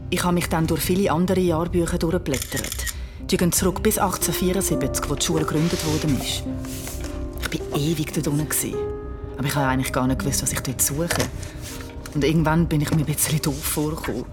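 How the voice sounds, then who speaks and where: Casting: actress as anxious, determined young Swiss German mother, lowish voice, naturalistic audio drama, music underneath. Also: steady tone, fast, lightly acted. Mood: narrating, reflective. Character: Steffi, anxious, driven young mother investigating a mystery.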